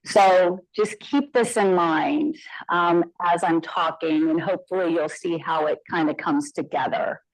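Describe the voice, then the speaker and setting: A woman's voice: fairly low pitch, measured pace, no room sound, poor audio. A woman nurse informaticist giving a conference presentation into a mic, conversational with frequent fillers and asides.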